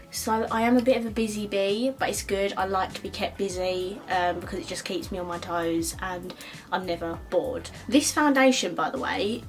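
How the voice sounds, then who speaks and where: A woman in her twenties with an Essex accent, chatting casually while doing her makeup, with plenty of ums and likes. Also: medium pitch. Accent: Essex English. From Essex, England. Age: 20s. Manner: chatty, lots of ums and likes, quick laughs. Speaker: beauty vlogger. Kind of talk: chatty get-ready-with-me talk.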